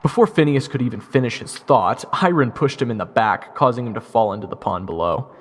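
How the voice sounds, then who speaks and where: A man's voice: medium pitch, fairly quick, close to an everyday voice. A man playing a sarcastic, easily exasperated young would-be knight who also narrates his fantasy adventure. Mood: wry narration. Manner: wry, incredulous, casual. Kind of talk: fan audio roleplay with first-person narration.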